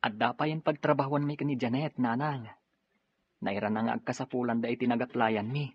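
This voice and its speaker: A man's voice: mid-range voice, steady tone, fairly quick, slightly rough, lightly acted. A young man with an Ilocano Filipino accent acting a grateful, good-natured eldest son in an audio drama. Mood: earnest. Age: young adult. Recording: dull lo-fi audio with music.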